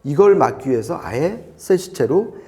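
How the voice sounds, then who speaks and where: A man's voice: a little high, sing-song, measured pace, gravelly, a little mellow. A man reviewing audio gear, explaining it conversationally to a co-presenter.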